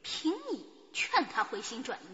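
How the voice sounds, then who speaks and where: Bitter spurned mistress, bright and high. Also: female voice, sing-song. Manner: scornful, deliberate, dramatic. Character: embittered, defiant spurned mistress in her late twenties. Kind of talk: radio drama acting. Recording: muffled, long hall reverb.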